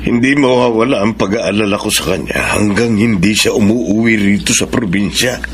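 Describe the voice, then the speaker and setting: A man's voice: on the low side, animated, moderate pace. An actor playing a stern, resentful middle-aged father in a radio drama. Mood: bitter. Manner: curt, bitter. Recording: radio drama with clear hiss.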